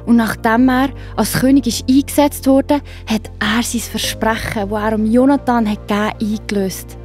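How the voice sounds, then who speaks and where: A woman's voice: a little high, steady tone, moderate pace, darkish tone. A woman with a Swiss German accent narrating a scripted Bible story for children. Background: music.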